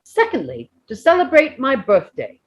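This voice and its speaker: An old hobbit gentleman, as a woman reader puts him on addresses a party in a storybook. The delivery is jovial and oratorical, lively in melody and somewhat raised in pitch, with deliberate, speech-making phrasing. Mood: ceremonious, measured. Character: elderly male hobbit giving a jovial birthday speech. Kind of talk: storybook reading.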